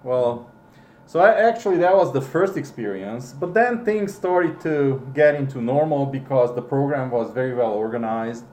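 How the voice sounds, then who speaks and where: A middle-aged man who is not a native English speaker, with a foreign accent, recalling his past slowly and hesitantly, with many ums and restarts. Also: medium pitch, lively intonation, darkish tone, echoey room. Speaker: interviewee. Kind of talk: casual recollection.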